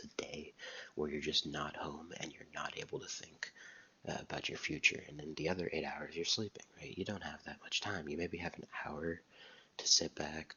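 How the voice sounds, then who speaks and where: Soft-spoken young man, very deep gravelly voice. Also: highs cut off. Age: young adult. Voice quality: soft, near-whispering voice. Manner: halting, repeats words often. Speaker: vlogger. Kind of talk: soft-spoken personal vlog. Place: dorm room. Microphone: close mic, wet mouth sounds.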